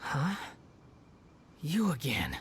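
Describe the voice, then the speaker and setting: A man's voice: a little high, wildly swooping pitch, extremely slow, slight background noise, exaggerated voice. A man voicing an arrogant, scornful young male villain in a video game, haughty, theatrical and menacing. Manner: haughty, dismissive, dramatic. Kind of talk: video game character voice acting. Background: music.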